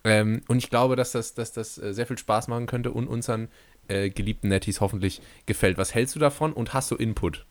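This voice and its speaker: A young man co-hosting a podcast, chatting in a relaxed way with dry, wry humor. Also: medium pitch, a little fast. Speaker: podcast co-host. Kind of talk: casual chat.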